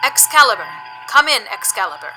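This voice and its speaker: Woman as a high-voiced, deadpan Vulcan officer. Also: brisk, faint music behind, heavily acted, poor audio. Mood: formal. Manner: formal, precise, deadpan. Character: logical, composed female Vulcan starship first officer. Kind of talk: audio drama acting.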